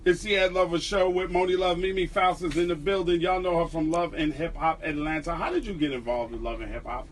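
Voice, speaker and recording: A man's voice: on the high side, brisk, hardly any pauses, distant mic. A man hosting a radio show, asking upbeat, probing questions of his guest. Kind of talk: radio interview. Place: studio.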